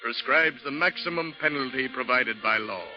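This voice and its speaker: An actor playing a solemn male judge pronouncing a sentence slowly in a vintage radio drama. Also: medium pitch, lightly acted. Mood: grave. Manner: slow, grave pronouncement. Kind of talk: 1940s radio acting. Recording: lo-fi old radio with music beneath.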